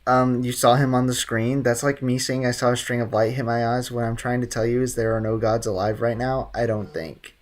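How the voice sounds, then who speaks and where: A man's voice: mid-range voice, steady tone, brisk, few pauses. A man streaming a video game, casually rambling, joking and chatting with viewers. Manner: rambling, joking, talks to chat. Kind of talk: casual gameplay commentary.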